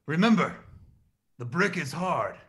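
A man playing a solemn, encouraging father in a vision, with a husky voice and dramatic delivery. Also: fairly high pitch, very animated, a little slow, a bit bright, exaggerated voice.

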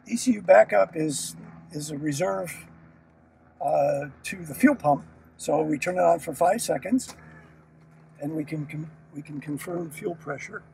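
A man demonstrating a small plane's cockpit, explaining each checklist step in a relaxed, explanatory way as he works the controls. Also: fairly high pitch, measured pace, gravelly, far from the mic, slight background noise, rough recording. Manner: relaxed, explanatory, talks while working controls. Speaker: aircraft sales demo pilot. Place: small aircraft cockpit with engine running.

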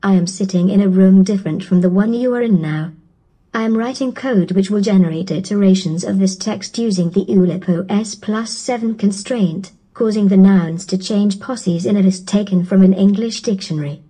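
A synthetic female voice-assistant voice from a smart speaker, reciting text in an even, machine-read way. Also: mid-range voice, moderate pace, breathy edge. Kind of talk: synthetic text-to-speech reading.

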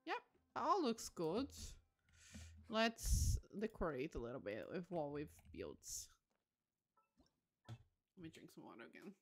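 Woman streamer with lively, swooping intonation. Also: low-pitched, takes it slowly, pauses often. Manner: musing aloud, enthusiastic exclamations. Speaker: livestream host. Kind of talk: casual game commentary.

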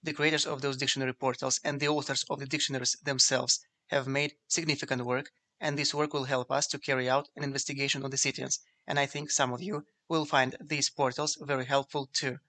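A man whose first language is Ukrainian, narrating a scripted lecture in a measured, explanatory way. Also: medium pitch, level tone, sharp tone. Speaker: video essay narrator.

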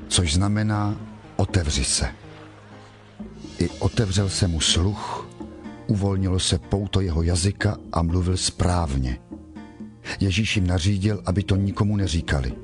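Male narrator, very low pitch, solemn, measured, scripted scripture reading, music underneath.

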